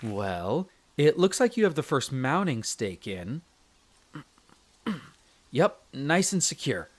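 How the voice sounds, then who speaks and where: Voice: mid-range voice, takes it slowly. A performer playing a young male elf explorer, a chatty, friendly guide speaking to the listener in an audio roleplay. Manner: expressive, chatty, stammers when flustered.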